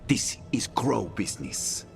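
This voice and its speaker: An actor playing a suave, proud assassin in a video game, with a theatrical, pointed delivery. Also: male voice, lowish voice, big pitch swings, slow, gravelly, full character voice. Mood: resentful. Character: suave, proud male assassin with wounded pride. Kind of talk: dramatic video game acting. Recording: game cutscene with loud background music.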